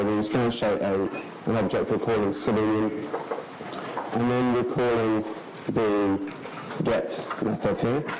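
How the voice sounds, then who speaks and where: A man in his thirties giving a technical conference talk in a large lecture hall, relaxed, with many fillers and restarts. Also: fairly low pitch, a little hiss or hum. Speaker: conference speaker.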